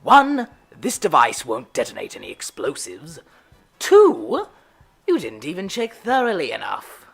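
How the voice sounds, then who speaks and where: A woman playing a sarcastic, sinister male clown villain in an audio drama, with a creaky voice and mocking, drawn-out delivery that swings from murmurs to shouts. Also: sits low, huge pitch swings, faint music behind, heavily acted. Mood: taunting.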